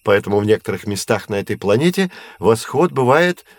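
An actor playing a patient, knowledgeable astronomy professor who explains things in a measured, didactic way in an educational audio drama. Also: male voice, on the low side, big pitch swings, no room sound. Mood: explaining, engaged. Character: male astronomy professor, patient, knowledgeable teacher of curious children.

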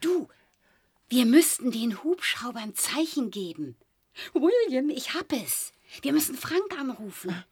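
A woman's voice: high voice, very animated, a little slow, darkish tone, slightly rough, exaggerated voice. An actress playing a naive, dreamy, stranded wife and mother in a science-fiction radio drama, airy and earnest. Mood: eager.

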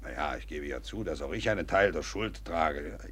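An actor playing a talkative, agitated old Russian general who blusters and rambles confidentially, in a theatrical German radio play. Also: male voice, a bass voice, expressive, normal speed, a bit bright, exaggerated voice. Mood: flustered, indignant. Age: older. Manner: blustering, rambling, confidential, hesitating mid-sentence.

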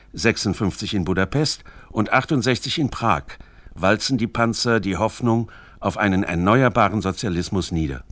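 A man with a fairly low voice narrates a scripted radio documentary. His delivery is formal, measured and articulate, recorded very dry. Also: no echo. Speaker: narrator of a radio literary feature.

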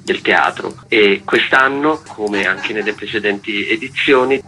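A man's voice: on the low side, pushed and bright, a little hiss or hum, poor audio. A man giving an explanatory radio interview as a festival's artistic director, articulate and wordy with formal, intellectual phrasing.

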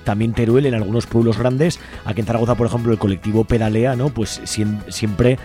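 A man's voice: mid-range voice, steady tone, a little fast, mellow, faint music behind. A man explaining things in a radio interview, often ending statements with a questioning tag. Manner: tags statements with questioning 'no?'. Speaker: environmental activist guest.

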